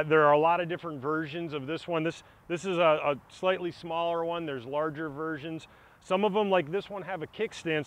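A middle-aged man giving a practical outdoor product demonstration, explaining plainly as he handles the equipment in a grassy yard. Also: sits high. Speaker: product demonstrator for a kayak shop.

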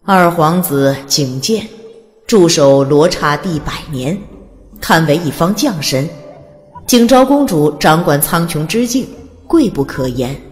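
A woman narrating a fantasy audiobook in a scripted, dramatic storytelling style. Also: sits low. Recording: long reverb, faint music underneath. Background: soft music.

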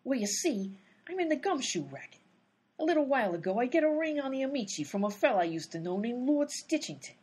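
A woman's voice: high-pitched, sing-song, fast talker, darkish tone, husky, exaggerated voice. A woman playing a brash, hot-tempered female private eye who wisecracks in 1930s gangster slang, in old-time radio comedy style. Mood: tough, irritated. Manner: wisecracking 1930s gangster talk, dropped grammar.

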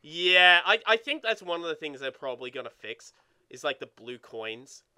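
A man co-hosting a let's play with casual, animated, sweary gaming commentary. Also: fairly high pitch, normal speed, clean tone.

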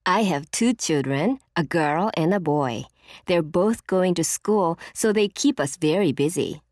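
A woman playing a calm mother of two in a scripted textbook passage. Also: on the low side, measured pace, dry recording, studio quality.

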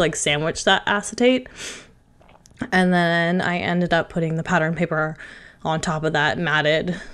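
A young woman talks through a crafting tutorial in a relaxed, chatty way, with plenty of filler words. She sounds stuffed up from a cold. Her voice sits low and comes across smooth and clear. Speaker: card-making channel host. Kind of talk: casual crafting tutorial voice-over.

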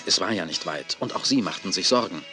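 A man's voice: deep voice, animated, brisk, music in the background. A man playing the narrator of a children's radio play, reading scripted storytelling. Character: male storyteller of a children's tale.

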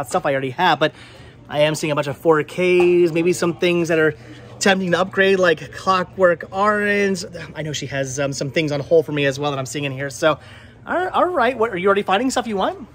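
An American man in his thirties chatting excitably as a vlog host, often repeating his words, recorded on a handheld camera indoors in a shop. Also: fairly high pitch. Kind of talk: casual handheld shopping vlog chat. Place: indoor flea market movie shop. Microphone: handheld camera at arm's length.

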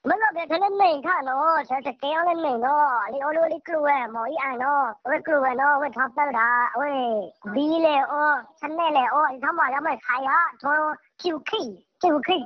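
A woman's voice: high voice, brisk, hardly any pauses. A woman giving an unscripted spoken account. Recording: dull, lo-fi sound.

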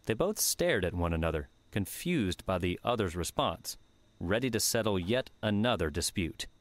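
A man's voice: deep voice, lively intonation, moderate pace, no echo. A man reading scripted audiobook narration.

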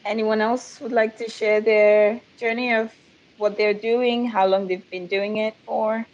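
A woman's voice: a little high, flat delivery, measured pace, darkish tone, lo-fi sound. A woman from Nigeria, a production specialist, talking in a friendly way and asking the others questions on a video call. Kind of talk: casual video-call chat.

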